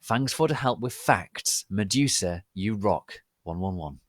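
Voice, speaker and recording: A man voicing a gushing, excitable teenage girl who writes fan fiction, in a dramatic reading. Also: lowish voice, a little slow, no room sound, studio quality. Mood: gushing excitement. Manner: breathless, over-excited gushing.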